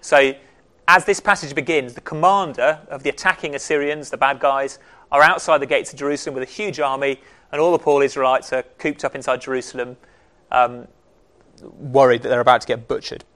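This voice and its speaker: A man leading a Bible study group, explaining in a relaxed, conversational way with frequent pauses and restarts. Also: mid-range voice. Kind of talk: casual teaching talk.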